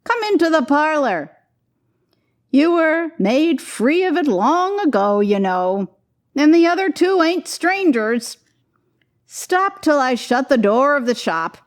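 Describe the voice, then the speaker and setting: A woman's voice: sits high, very animated, slow pace, pitched above the performer's own voice, heavily acted. A woman voicing a jovial, sly gray-haired shopkeeper of nearly seventy in a storybook, colloquial and exclaiming. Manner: colloquial, exclaiming, repeating himself.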